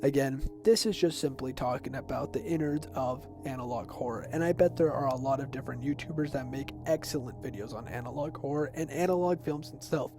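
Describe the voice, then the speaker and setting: A man's voice: mid-range voice, fairly quick, few pauses. A young man narrating an explainer video essay in an informal, personal way. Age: teens to young adult. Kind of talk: semi-scripted explainer narration. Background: background music.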